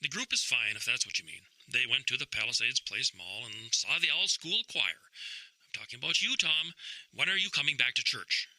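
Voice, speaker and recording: A folksy churchman in a radio play , acted by a man. His voice is very bright and sharp, and it swings up and down as he fusses with fretful concern. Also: medium pitch, animated, moderate pace, no room sound, rough recording. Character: fussy, concerned male senior church colleague. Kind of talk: audio drama acting.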